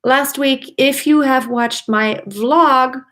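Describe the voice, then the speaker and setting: Female livestream host, native German speaker, singing teacher, highish voice, chatty and enthusiastic, speaks straight to the audience. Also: slow pace, a bit bright. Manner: chatty, enthusiastic, addresses viewers directly. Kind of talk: casual livestream talk to viewers.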